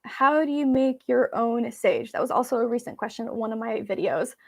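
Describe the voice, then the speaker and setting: A woman's voice: fairly high pitch, brisk, creaky, a little mellow. A woman from Belarus, a native Russian speaker, chatting casually and informally with viewers as she hosts a live Q&A. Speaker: vlog host.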